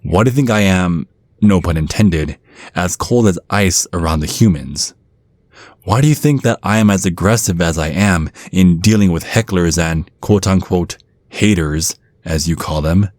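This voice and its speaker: A man performs a centuries-old vampire bodyguard in an intimate ASMR roleplay. His male voice sits low, with a gruff, brooding earnestness that is fiercely protective. The sound is dry, with no room around it. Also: normal speed, a little mellow, dry recording, natural voice. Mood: bitter, stern.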